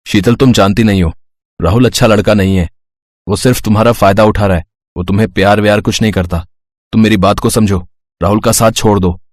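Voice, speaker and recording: Guy voicing a concerned school friend, earnest and low key, dry close recording. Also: fairly even intonation, a little fast, dry recording, close to an everyday voice. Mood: concerned, warning. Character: caring, earnest schoolboy friend, gently cautioning. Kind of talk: dramatized Hindi moral story acting.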